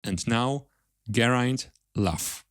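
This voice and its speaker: A man's voice: medium pitch, extremely slow, fairly bright tone, no room sound, studio quality. A man hosting a podcast, presenting a scripted introduction and putting questions to a guest. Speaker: podcast host.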